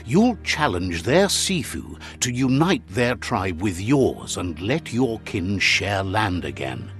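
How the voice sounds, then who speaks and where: A middle-aged man giving calm, scripted storybook narration for a game, relaying what the characters say. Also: fairly low pitch, takes it slowly. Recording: studio voice-over with soft game music.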